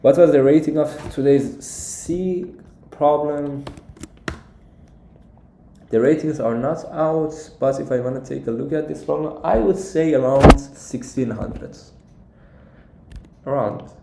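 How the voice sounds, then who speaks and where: A young man from Iran with an Iranian accent answers viewers' questions casually on a live stream as a coach, often repeating words haltingly. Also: mid-range voice, creaky, dark tone, a little hiss or hum. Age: young adult. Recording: echoey, roomy sound.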